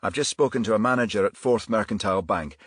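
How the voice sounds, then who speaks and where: A man voicing a brisk, irritable detective in charge, clipped and commanding, in a crime audiobook. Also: on the low side, fairly bright tone, slightly rough, no room sound, studio quality. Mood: purposeful.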